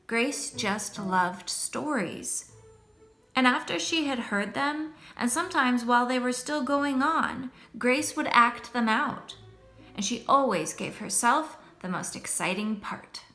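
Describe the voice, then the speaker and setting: A middle-aged woman reading a picture book aloud to children in an expressive, deliberate storytelling manner. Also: a little high, faint music behind.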